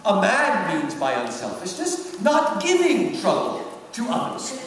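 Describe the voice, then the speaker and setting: A man playing a pompous, sly senior devil in a dramatic book reading, with a theatrical, deliberate, drawn-out delivery. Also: high voice, wildly swooping pitch, fairly bright tone, heavily acted. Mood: sly, mocking. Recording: echoey hall from far off, noisy.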